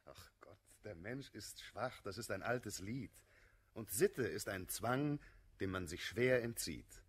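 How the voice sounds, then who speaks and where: An actor playing a moderate, worldly friend in a classical German radio play, reasoning in measured, ironic rhymed verse. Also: male voice, on the low side, lively intonation, slightly rough, heavily acted. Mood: resigned, conciliatory.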